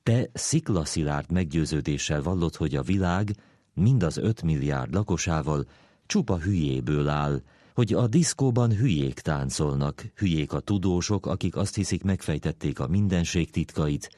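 A man reading a literary essay aloud in a deliberate, dryly ironic manner. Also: extremely low pitch, lively intonation, warm tone, dry recording, clean studio sound.

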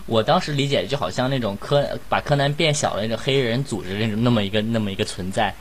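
A man's voice: mid-range voice, fast talker, slight background noise. A man co-hosting a casual panel chat as a voice actor. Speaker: host and voice actor in an audio drama cast discussion.

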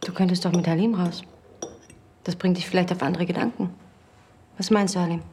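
An actress playing a headstrong, hospitable wife in a naturalistic radio drama, speaking in a brisk, pointed way. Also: female voice, fairly low pitch, steady tone, warm tone, slight background noise, close to an everyday voice. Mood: matter-of-fact. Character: headstrong wife, hospitable to strangers, impatient with husband. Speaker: actor in a radio play.

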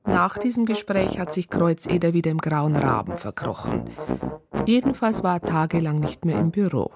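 An actress playing a detective's colleague who narrates a radio drama wryly. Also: female voice, fairly low pitch, sing-song, moderate pace, gravelly, loud music behind the voice. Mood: wry, reflective. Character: dry, matter-of-fact female colleague narrating a detective story.

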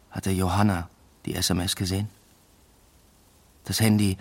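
A brooding father telling his own story in a radio drama. His voice sits low and has a husky grain, with a reflective, literary delivery. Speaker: narrator and lead character. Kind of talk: literary first-person radio drama narration.

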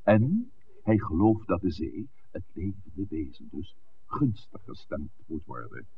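An actor playing a wry, persuasive village priest in a 1960s radio drama, speaking in a measured, articulate, lecturing way. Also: male voice, sits low, sing-song, gravelly, heavily acted. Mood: earnest, explanatory. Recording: muffled, hissy 1960s radio recording.

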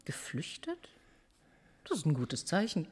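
An actress in a German radio play, playing a thoughtful, determined woman researcher with a measured, earnest manner. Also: low-pitched, huge pitch swings, a little mellow, husky, full character voice. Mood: thoughtful, intent. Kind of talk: German radio drama acting. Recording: long hall reverb.

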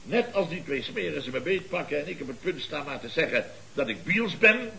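A man acting a pompous, excitable middle-aged boss of a building firm in an old radio comedy, exclaiming and repeating himself. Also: a little high, a little fast, vocal fry, a little hiss or hum, exaggerated voice, rough recording. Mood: boastful, indignant. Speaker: comic actor in a radio comedy series. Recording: old radio recording with studio audience.

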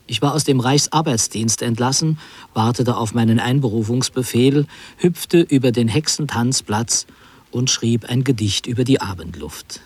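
A man playing a wry, reflective narrator recalling his wartime youth in literary radio drama, measured and lyrical. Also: medium pitch, slightly rough.

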